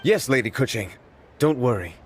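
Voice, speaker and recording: A man's voice: mid-range voice, animated, normal speed, soft background music, close to an everyday voice. A man playing a polite, slightly flustered, helpful clerk in a video game. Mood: obedient. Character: deferential, hurried, helpful male clerk. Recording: faint ambient noise behind voice.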